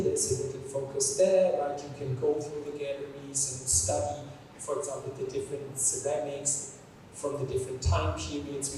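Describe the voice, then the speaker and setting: A man hosting a museum dialogue for students, explaining in a public-talk style and often checking in with a quick "right?". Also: fairly high pitch, darkish tone, far from the mic, a little hiss or hum. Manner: explanatory, keeps adding "right?" tags. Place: museum gallery hall. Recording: echoey hall, speaker off-mic.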